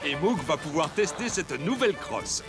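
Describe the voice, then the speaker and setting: Man playing a lively old sports champion turned woodcarver. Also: a little high, moderate pace, natural voice. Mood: friendly, encouraging. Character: gruff but kindly retired hockey champion and woodcarver. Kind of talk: children's cartoon dubbing. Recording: music under the voice.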